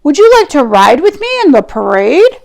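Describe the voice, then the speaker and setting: A woman's voice: high voice, sing-song, normal speed, vocal fry, a little mellow, full character voice. A woman voicing a genial male mayor from a children's storybook in a formal, friendly way. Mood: genial, welcoming. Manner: formal, friendly official speech.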